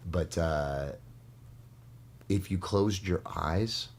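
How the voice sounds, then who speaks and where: A man's voice: low voice, extremely slow. A man, a guest performer, chatting casually and explaining his craft in a studio interview with noticeable background noise.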